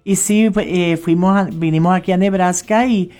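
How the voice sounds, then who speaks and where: A woman's voice: fairly low pitch, steady tone, normal speed, darkish tone, soft background music. An older woman with a Puerto Rican Spanish accent, a notary guest on a radio show, chatting and telling stories with frequent restarts. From Puerto Rico. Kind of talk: casual radio interview.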